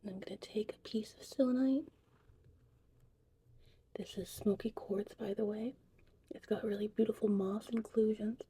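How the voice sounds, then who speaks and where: A woman speaking mostly in a soothing, slow whisper, as a gentle mystical witch in an ASMR roleplay. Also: mid-range voice, fairly even intonation. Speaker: ASMR creator playing a witch who teaches potion making. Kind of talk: whispered ASMR roleplay, guided breathing and narration. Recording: clearly noisy, vintage VHS-tape styled audio.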